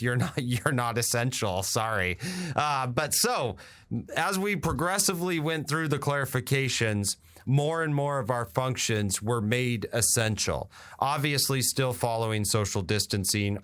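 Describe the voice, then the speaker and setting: Clear-voiced American man hosting talk radio. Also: mid-range voice, fairly bright tone. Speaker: radio show host and real estate agent. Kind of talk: conversational radio talk, explaining industry updates.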